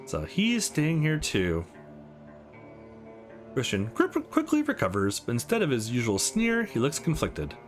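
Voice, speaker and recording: A man's voice: mid-range voice, wildly swooping pitch, a little slow. Guy doing a visual novel lets play, super up and down voice, casual side comments, bit of music behind. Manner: reacts with casual asides between read lines. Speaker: let's play host.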